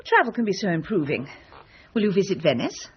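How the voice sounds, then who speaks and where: A woman's voice: mid-range voice, sing-song, brisk, slight background noise, natural voice. An actress playing a genteel, scatty, disapproving upper-class English mother in a period radio drama, middle-aged, airy and fussy. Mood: airy, bright. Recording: dull lo-fi radio copy.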